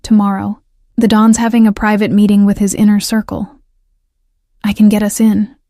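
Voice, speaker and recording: A woman's voice: medium pitch, level tone, normal speed, warm tone, no room sound, studio quality, natural voice. A woman doing a terse, decisive mob ally. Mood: determined. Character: tense, decisive male mafia man, loyal ally.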